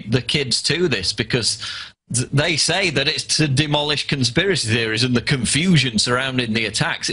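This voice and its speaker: A man's voice: medium pitch, bright, forceful tone, poor audio. A man speaking as a guest commentator, arguing his political points in an insistent, articulate way.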